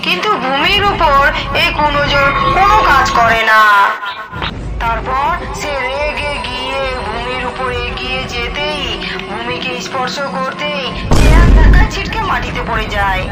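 Woman, cartoon storyteller, high voice, loud background music. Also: unhurried, rough recording. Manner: even, storytelling. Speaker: narrator. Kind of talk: storybook narration.

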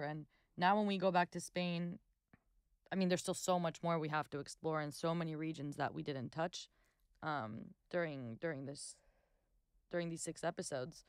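A woman in her twenties, a podcast guest, speaking thoughtfully in casual family chat on a boom mic in a cozy living-room studio. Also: no room sound. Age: 20s. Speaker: guest, host's daughter. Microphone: boom-arm studio mic.

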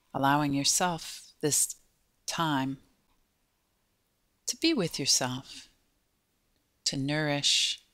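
A woman leads a guided body scan meditation at a very slow pace. Her delivery is gentle, with short phrases separated by pauses. The sound is dry and close, with little room to it. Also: deep voice, no echo. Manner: gentle, unhurried, pausing between short phrases.

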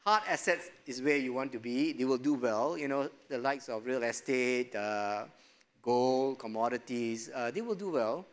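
A middle-aged man speaks as a panelist on a studio stage, recorded on a lapel mic. His talk is relaxed and loose, dotted with small chuckles and frequent filler phrases. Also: mid-range voice, normal speed. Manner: relaxed, chuckling, many "you know" fillers. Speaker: investment panelist. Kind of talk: panel discussion answer.

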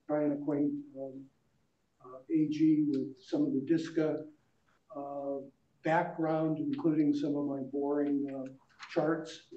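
A man chairing a meeting, introducing a guest speaker in a halting way with many fillers. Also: a little high, dark tone. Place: large carpeted meeting room. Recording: roomy, distant, dull meeting recording.

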